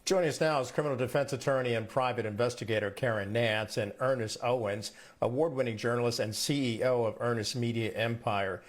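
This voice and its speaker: A man's voice: mid-range voice, fairly even intonation, a little fast, hardly any pauses. A man working as a news anchor, presenting and interviewing in a live broadcast style.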